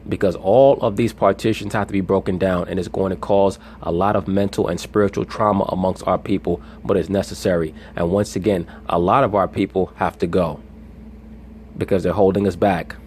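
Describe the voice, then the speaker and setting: A man from the United States giving a monologue commentary on video clips, deliberate and lecturing, with a preacher-like cadence. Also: low voice, steady tone, darkish tone, slight background noise.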